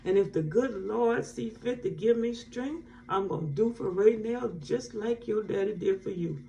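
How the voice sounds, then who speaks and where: A woman's voice: mid-range voice, moderate pace, vocal fry, dark tone, lightly acted. A woman performing a stage monologue as a weary, candid wife and mother, earnest and reflective, with folksy phrasing. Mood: tender, determined. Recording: muffled, distant mic, faint noise.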